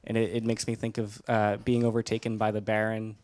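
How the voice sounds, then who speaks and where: Male participant, lowish and monotone, reflective informal explanation, dry sound. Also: a little fast, dry recording. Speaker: discussion participant. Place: live group event.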